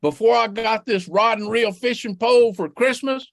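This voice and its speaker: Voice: mid-range voice, moderate pace, creaky, no room sound, studio quality, pitched above the performer's own voice, lightly acted. A storyteller voicing a sly male fox in a spoken folktale, drawling and scheming in a folksy way. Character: sly male fox, smooth-talking trickster. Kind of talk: oral folktale telling.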